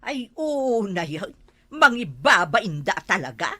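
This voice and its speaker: Woman acting fed up ilocano wife, scolding, comedy drama, bright voice swinging all over. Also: medium pitch, big pitch swings, takes it slowly, heavily acted. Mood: indignant. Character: scolding elder-sister village wife, fed up with husband. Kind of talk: amateur comedy drama dialogue.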